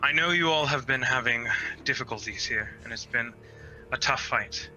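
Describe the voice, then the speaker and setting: A man takes part in a tabletop role-playing session, switching between player talk and in-character speech. His pitch is medium. Music is clearly heard behind him. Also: moderate pace, sharp tone, low-quality recording. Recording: prominent music under the voice.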